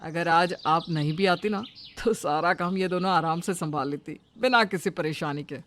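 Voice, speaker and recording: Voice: mid-range voice, moderate pace, fairly bright tone, slight background noise, lightly acted. A performer voicing a cheerful, admiring younger brother in a cartoon moral story, praising in an easygoing way.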